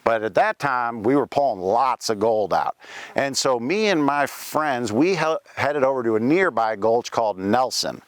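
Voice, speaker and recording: A man's voice: mid-range voice, animated, moderate pace, no echo, lightly acted. A man playing the ghost of a Welsh-born pioneer gold miner, telling his life story in a folksy first-person way with frequent asides, outdoors at a cemetery. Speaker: costumed cemetery tour performer. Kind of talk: first-person historical reenactment.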